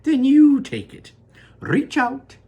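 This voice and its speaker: A man voicing an eccentric, excitable male chocolate maker, pitched high with a husky edge and sudden shouts. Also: slow pace, exaggerated voice. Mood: excited. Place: room in his home without air conditioning.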